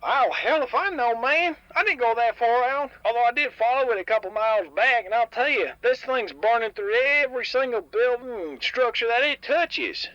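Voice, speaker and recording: A man voicing an excitable, folksy mutant tinkerer who rambles carefree about danger on a comedic radio drama call-in. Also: very high-pitched, dry recording, full character voice. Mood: alarmed. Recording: dull lo-fi radio call, faint music.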